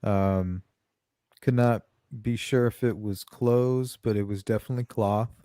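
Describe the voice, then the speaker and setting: A woman's voice: rumbling low, steady tone, unhurried, dark tone, no echo, clean studio sound. A woman hosting a casual livestream chat, thinking aloud as she goes. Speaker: host.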